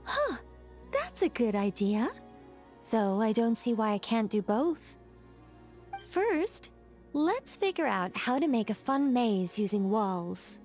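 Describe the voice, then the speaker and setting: Wry, teasing female mage for a game, chatty, mock-dignified, slightly high voice, faint music underneath. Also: sing-song, slow pace, darkish tone. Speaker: game character. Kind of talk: game voice lines.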